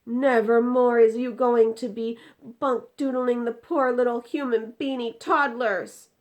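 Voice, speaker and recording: A woman's voice: on the high side, steady tone, a little slow, darkish tone, pitched above the performer's own voice, close to an everyday voice. A woman voicing an indignant, distressed giant from a storybook, exclaiming in mangled grammar and drawing out words emphatically. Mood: exasperated, dramatic.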